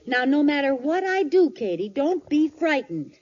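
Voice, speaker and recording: A woman's voice: high voice, big pitch swings, moderate pace, a little mellow. A woman playing a scheming housewife who feigns sickness with theatrical frailty in a 1950s radio sitcom. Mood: sly, scheming. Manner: theatrical, with exaggerated groans and put-on frailty. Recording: muffled old radio recording, audience, hiss.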